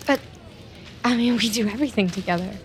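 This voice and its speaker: An actress playing a needy, clingy young office worker in a scripted audio drama, hesitant and stammering. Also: female voice, a little high, quick pace, soft background music, lightly acted. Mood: glum. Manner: hesitant, stammering, eager to please.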